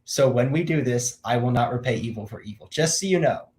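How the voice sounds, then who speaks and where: A twenty-year-old man co-hosting a casual Q&A, teasing his friend in a deadpan, mock-serious way. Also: medium pitch, a little fast, noisy background. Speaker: co-host and regular guest. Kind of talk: casual chat.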